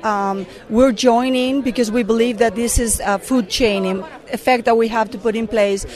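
A woman's voice: a little high, fairly even intonation, normal speed, darkish tone. A middle-aged woman from Mexico with a Mexican accent, a corporate affairs director giving earnest answers in an interview, with many fillers and restarts.

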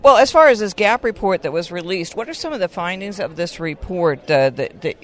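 A woman interviewing a guest at a conference, often pausing and repeating words as she frames her questions. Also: on the low side, lively intonation. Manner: hesitant, repeats words while framing questions.

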